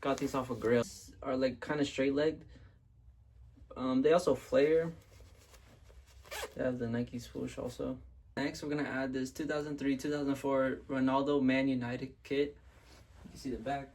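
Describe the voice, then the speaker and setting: This is a young man's casual styling vlog voice, raspy because he has been sick. He talks to his viewers in a relaxed, slangy way. His delivery stays flat and even, and a gravelly creak runs through his words. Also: medium pitch, normal speed, long pauses. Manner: laid-back slangy talk to viewers. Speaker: fashion vlogger. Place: at home.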